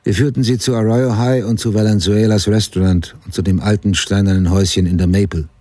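A man's voice: fairly low pitch, warm tone, no room sound. An actor in a radio play playing an obsessed crime writer who narrates his investigation in a terse, restrained way.

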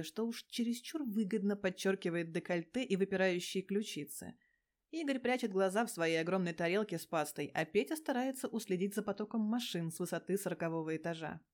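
A woman reading fan fiction aloud as an expressive storyteller, with a colloquial, sardonic manner. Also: medium pitch. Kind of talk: expressive audiobook-style storytelling with character voices.

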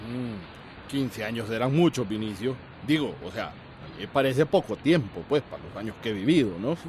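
A man's voice: fairly low pitch, expressive, normal speed, darkish tone, a little hiss or hum, close to an everyday voice. A man acting a hearty, jovial old farmer, set in his ways, in a radio drama. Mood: doubtful. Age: older.

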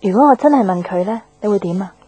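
Radio drama role: forward, probing young Hong Kong Cantonese colleague, brisk delivery, husky tone. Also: female voice, medium pitch, animated, warm tone, dry recording. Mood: teasing, probing.

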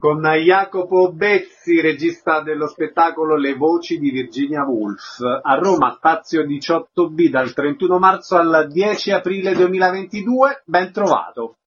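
Husky man hosting a radio show. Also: on the high side, a little slow, soft background music. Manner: brief, formal announcing of events. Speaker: radio host. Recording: dull lo-fi radio sound.

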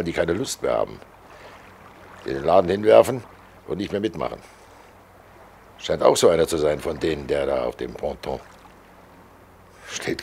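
An actor playing Death as a fat, cynical undertaker with a sardonic, matter-of-fact manner, in a 1940s German radio play. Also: male voice, sits low, vocal fry, a little mellow, slight background noise. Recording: old 1940s radio recording.

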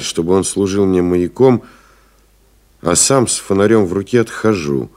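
Deep, slow-spoken weary pilot. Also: male voice, darkish tone. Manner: grave, deliberate, brooding, with pauses. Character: weary, stoic male pilot stranded in the desert. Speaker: actor narrating in first person. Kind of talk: dramatic literary audio play narration. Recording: music behind the voice.

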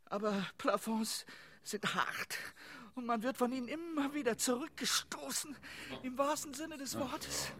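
A shrill, plaintive, despairing sufferer. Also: huge pitch swings, measured pace, a bit bright, exaggerated voice. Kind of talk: German radio play acting.

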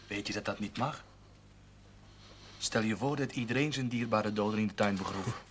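Actor as a grave, low-voiced clergyman. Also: moderate pace, close to an everyday voice. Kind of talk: 1970s television drama acting. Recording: faint noise on old TV audio.

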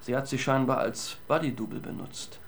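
An actor plays a slangy, flippant male investigator in a radio crime play. His voice is mid-pitched, casual and matter-of-fact. There is faint background noise. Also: normal speed, natural voice. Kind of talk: German radio crime drama acting.

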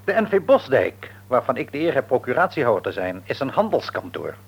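A man's voice: medium pitch, very animated, brisk, creaky, husky, exaggerated voice. An actor playing a shrewd, patronising middle-aged father and businessman in a Dutch radio play, wordy and probing, with a Netherlands accent. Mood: brisk, businesslike. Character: shrewd, patronising businessman father, outwardly jovial, secretly domineering. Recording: radio drama recording.

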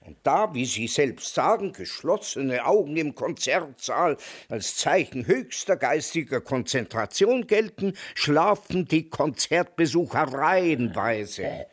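An actor playing a sardonic, world-weary old emigrant in a German radio drama, dry and deliberate in manner. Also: male voice, a little high, sing-song, exaggerated voice. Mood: irritated, mocking. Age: older.

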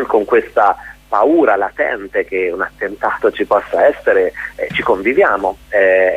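A man's voice: lowish voice, breathy edge, dry recording. A man being interviewed on radio as a guest playwright and director, explaining at length in a reflective, articulate way over the telephone. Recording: muffled phone-line sound.

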